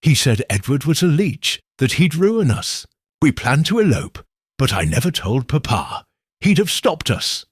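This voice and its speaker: A man's voice: fairly high pitch, big pitch swings, measured pace, husky, no echo, clean studio sound, pitched above the performer's own voice, exaggerated voice. A man voicing a delicate, tearful young woman, fragile and faltering, in an audiobook. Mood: tearful, resentful. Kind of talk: acted audiobook dialogue.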